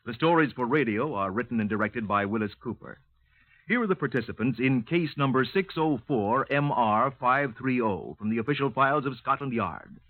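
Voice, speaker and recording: A man's voice: mid-range voice, expressive, moderate pace, no room sound. A man announcing formally from a script in the declarative style of 1950s radio. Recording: dry, muffled narrowband vintage radio recording.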